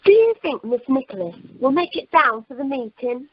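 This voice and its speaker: Lady doing chirpy kind holiday rep, high bouncy voice, amateur radio comedy, bit muffled phone recording. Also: sing-song, moderate pace, husky, no echo, full character voice. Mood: gossipy. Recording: dull telephone conference line.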